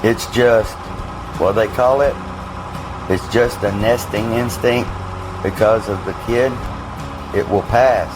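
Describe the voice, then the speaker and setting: A man's voice: on the low side, fairly even intonation, slow, pauses often, over music, low-quality recording. A man acting in an audio drama as a hardened, pragmatic fighter with a blunt, matter-of-fact manner. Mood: dismissive. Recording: clear engine and vehicle noise behind.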